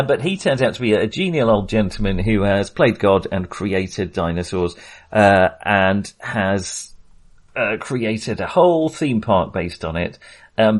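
A middle-aged man with a fairly low voice chats casually as a film podcast co-host. He speaks haltingly, circling back and restarting as he goes. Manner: hesitant, halting delivery with false starts; chuckles often while talking.

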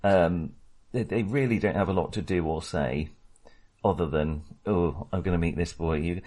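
A man's voice: low-pitched, normal speed, mellow. A man co-hosting a film podcast in casual discussion, talking hesitantly, often interrupting himself and rambling.

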